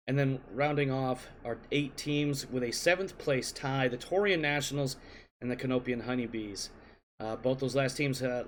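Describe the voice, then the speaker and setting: A man's voice: on the high side, fairly bright tone, a little hiss or hum. A man hosting a game league show from his home computer, talking casually with playful asides and jokes. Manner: joking, rambling, playful asides. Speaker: league host. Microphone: computer mic at home desk.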